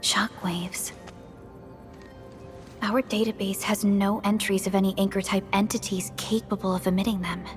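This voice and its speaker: A woman voicing a calm, formal young company supervisor in a video game. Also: medium pitch, normal speed, lightly acted. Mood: pensive. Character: composed young female industrial company supervisor. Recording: faint music behind the voice.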